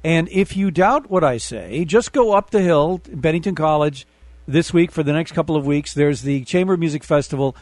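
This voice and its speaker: A man's voice: fairly high pitch, normal speed, dry recording. A man hosting a community radio interview, a conductor who talks in long reflective asides. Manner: rambling, reflective, warm asides.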